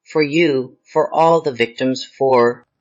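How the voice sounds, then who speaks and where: Man acting fearful mother, investigator, grave, medium pitch, screenplay drama, dull recording. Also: slow, close to an everyday voice. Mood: pleading, regretful. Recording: slightly dull, lo-fi sound.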